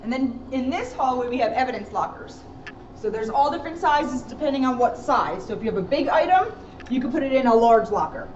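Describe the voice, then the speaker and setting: A woman's voice: high-pitched, expressive, a little fast, darkish tone. A woman police officer giving an informal guided tour, explaining plainly and addressing viewers directly, recorded indoors from a distance. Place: indoor rooms and hallways of a police station. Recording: roomy, muffled, noisy, distant camera microphone.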